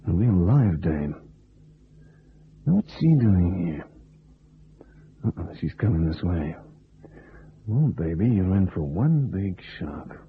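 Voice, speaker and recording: An actor playing a wisecracking, cool American test pilot with a laconic manner in a radio detective drama. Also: male voice, sits low, sing-song, takes it slowly, pauses often, a little hiss or hum, full character voice. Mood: startled, intrigued. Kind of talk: old-time radio detective drama acting. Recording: muffled, narrow old radio recording.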